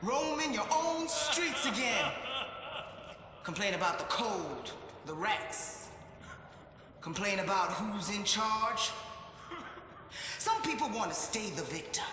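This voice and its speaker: A man's voice: high voice, big pitch swings, slow, pauses often, bright, forceful tone, slight background noise, exaggerated voice. An actor playing a menacing male gang leader, preaching violence to his followers in repetitive, threatening phrases. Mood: menacing, taunting. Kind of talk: incendiary rallying speech. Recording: very echoey hall, music behind.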